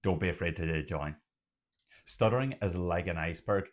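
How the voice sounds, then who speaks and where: A young man, a doctor who stutters, talking to camera about his own stuttering in a measured, deliberate way with occasional stutters. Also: sits low. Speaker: doctor and community host. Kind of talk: personal talk to camera.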